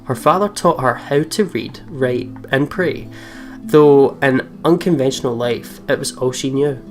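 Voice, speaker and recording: Let's play host, mid-pitched, informal and giggly, chats and reads aloud with game music behind. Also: measured pace. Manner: giggly, chatty. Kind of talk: casual chat and reading aloud over game. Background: plucked guitar game music.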